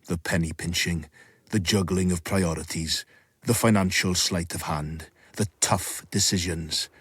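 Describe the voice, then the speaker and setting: Deep husky narrator for a radio drama, grave and measured, very dry close sound. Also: male voice, steady tone, no echo. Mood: grave, wry. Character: grave, wry male storyteller of a period drama.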